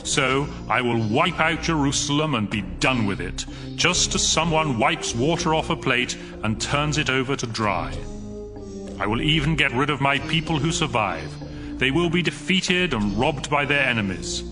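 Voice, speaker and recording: A man's voice: mid-range voice, expressive, normal speed, close to an everyday voice. A man playing a stern, commanding God in a dramatized scripture reading, solemn and declamatory. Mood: stern wrath. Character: the Lord God, commanding, stern male deity. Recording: music bed behind the voice.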